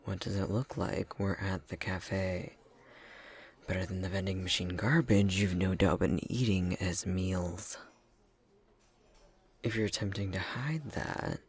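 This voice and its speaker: A man's voice: deep voice, creaky, breathy edge, exaggerated voice. A man playing a prickly, grudgingly caring elf academic in an ASMR roleplay, with a curt, haughty, exasperated delivery that often drops into whispers. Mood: scolding, gruff.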